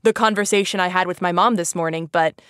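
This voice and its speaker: A woman's voice: on the low side, steady tone, quick pace, a bit bright, clean tone, no room sound, clean studio sound. A woman hosting a casual educational podcast, chatting informally with plenty of "like" and an easy laugh. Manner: giggly, laughs easily. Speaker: podcast host.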